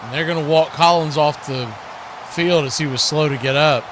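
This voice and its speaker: A man's voice: on the high side, moderate pace, noisy background. A man doing live radio-style football play-by-play as the announcer.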